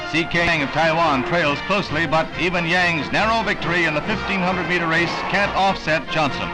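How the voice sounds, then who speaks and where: A man's voice: fairly high pitch, a little fast, low-quality recording. An American man narrating a 1960 newsreel in a dramatic, emphatic announcer style with florid phrasing. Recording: vintage 1960 newsreel soundtrack, loud music. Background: loud orchestral newsreel music.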